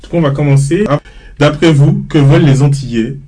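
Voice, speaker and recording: A young man from Martinique hosting a student podcast, reading a measured scripted introduction and then putting questions to his guests. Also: fairly high pitch, warm tone. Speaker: podcast host. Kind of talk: read introduction and moderating.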